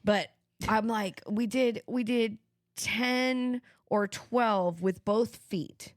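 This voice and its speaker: A woman's voice: on the high side, very slow, no room sound. A woman podcast co-host chatting casually and emphatically, repeating herself for effect. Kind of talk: casual chat.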